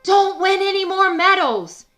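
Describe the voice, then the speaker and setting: A woman voicing an excitable, worried turkey in a children's storybook, exclaiming urgently. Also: very high-pitched, unhurried, faint music behind, pitched above the performer's own voice, heavily acted. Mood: pleading. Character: excitable, worried turkey who hatches plans. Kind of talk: children's storybook read-aloud.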